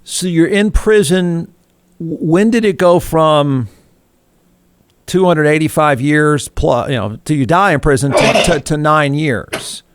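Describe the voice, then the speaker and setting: A man's voice: fairly high pitch, measured pace, clear, resonant tone, dry recording, studio quality. A man hosting a talk show interview, asking conversational, probing questions.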